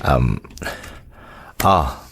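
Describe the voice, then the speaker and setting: A man with an Ilocano accent acting a gentle, devoted father in an audio drama, tender and reassuring. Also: a bass voice, lively intonation, extremely slow, vocal fry, breathy edge, exaggerated voice. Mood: cheerful. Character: gentle, devoted father of young children, wife working abroad.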